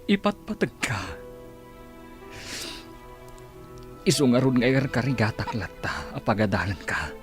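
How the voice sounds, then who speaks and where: An actor playing a loving, weary middle-aged father in an Ilocano radio drama, pleading and breathy with emotion. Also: mid-range voice, very animated, brisk, close to an everyday voice. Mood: tender, earnest. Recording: music bed behind the voice.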